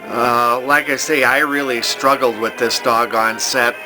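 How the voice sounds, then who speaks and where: An older man giving a casual, unscripted hobbyist demonstration, talking in a folksy, halting way as he thinks aloud. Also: mid-range voice, steady tone, music in the background, low-quality recording. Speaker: hobbyist demonstrating a vintage television he repairs.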